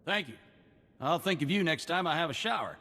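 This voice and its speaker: A man's voice: a little high, animated, a little fast, pushed and bright, big-room sound, heavily acted. A man voicing a folksy, grumbling but grateful frontier farmer in a video game. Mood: awkwardly grateful. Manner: folksy rural speech, drops endings.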